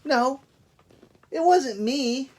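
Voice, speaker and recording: A man voicing an apologetic, mischievous little boy in a picture-book read-aloud, in emphatic drawn-out short phrases. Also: very high voice, animated, a little mellow, pitched above the performer's own voice, full character voice. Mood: defensive denial.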